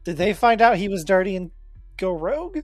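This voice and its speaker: A joking tabletop player who fires off quick questions and dry sarcasm at a middle pitch. The voice is recorded close and dry, with faint music in the background. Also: big pitch swings, no echo. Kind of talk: casual tabletop game banter.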